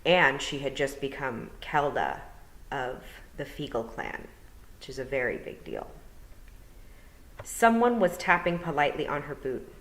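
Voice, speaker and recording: A woman's voice: a bass voice, animated, unhurried, a bit bright. A woman, a teacher, reading a story aloud and narrating for storytime. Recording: roomy, reverberant indoor sound.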